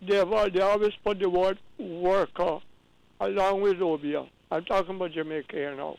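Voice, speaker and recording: A man's voice: high voice, fairly even intonation, normal speed, gravelly, darkish tone, poor audio. A man from Guyana calling in to a radio talk show, explaining at length with earnest repeated restarts. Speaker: caller.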